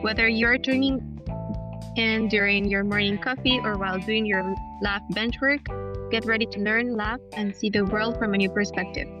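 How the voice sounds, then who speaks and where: A woman's voice: medium pitch, fairly even intonation, moderate pace, muffled recording, music in the background. A woman hosting a science podcast, reading a scripted intro and asking interview questions. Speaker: podcast host.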